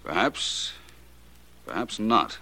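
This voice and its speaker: An actor playing a shrewd, unflappable detective with polished, wry phrasing in 1940s radio drama style. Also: male voice, on the low side, sing-song, takes it slowly, gravelly, pushed and bright, full character voice. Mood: smooth menace. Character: suave, shrewd, unflappable male private detective.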